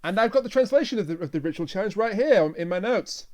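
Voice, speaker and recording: A man with a high-pitched voice. He discusses things casually as a podcast reviewer, in an everyday conversational way. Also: animated, quick pace, darkish tone.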